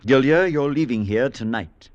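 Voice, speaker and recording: A man's voice: medium pitch, moderate pace, lo-fi sound, lightly acted. An actor playing a concerned, steadfast clergyman friend who also narrates an old-time radio drama, earnest, urgent and articulate. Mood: firm, concerned.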